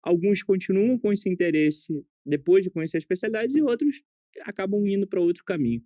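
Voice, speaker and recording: Male podcast host, friendly interviewer, mellow warm timbre, dry studio sound. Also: sits high, expressive, brisk, no echo. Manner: friendly, conversational questioning. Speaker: podcast host, general surgeon.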